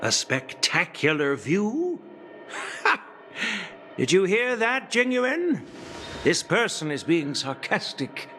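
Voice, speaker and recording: An actor playing an elderly general, a shrewd and wry grandfather with a measured, weighty, teasing manner, in a video game. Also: high voice, big pitch swings, pushed and bright, a little hiss or hum, exaggerated voice. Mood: amused, probing. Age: older.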